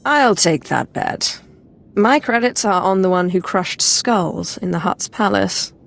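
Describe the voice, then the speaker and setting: An actress playing a composed, imperious female Sith lord in a game, with a dry, quietly menacing manner. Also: medium pitch, a little slow, vocal fry. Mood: cool, composed.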